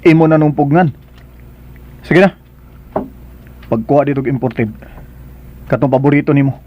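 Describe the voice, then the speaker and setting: An actor playing a brooding, commanding master in a radio drama, speaking in a curt and insistent way. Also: male voice, medium pitch, normal speed, highs cut off, a little hiss or hum, close to an everyday voice. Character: brooding, commanding male boss in a wheelchair.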